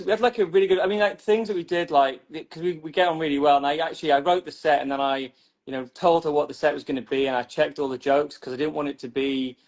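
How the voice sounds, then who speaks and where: A British man from Newcastle, a stand-up comedian chatting loosely about his act, full of "like" fillers and self-mockery. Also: a little high. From Newcastle, England. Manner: rambling, many "like" fillers, self-mocking.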